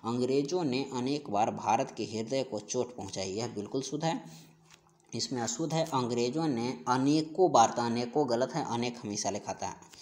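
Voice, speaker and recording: A man's voice: mid-range voice, normal speed, darkish tone. A man teaches in a question-and-answer style, explaining and restating each answer. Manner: repetitive, explanatory, stressing answers by restating them. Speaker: Hindi exam-prep teacher. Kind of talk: question-and-answer grammar lesson.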